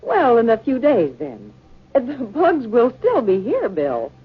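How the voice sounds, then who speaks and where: A woman's voice: on the high side, wildly swooping pitch, measured pace, slightly rough, heavily acted. A woman playing an anxious, squeamish professor's wife who loathes insects, in an emotive 1950s radio drama style. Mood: reluctant. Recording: dull, band-limited 1950 radio recording.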